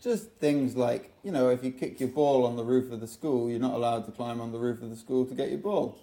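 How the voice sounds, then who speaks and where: A young English man from England, a podcast guest telling relaxed stories about his life with lots of fillers. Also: medium pitch, brisk, low-quality recording. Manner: relaxed storytelling with frequent fillers. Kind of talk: casual interview.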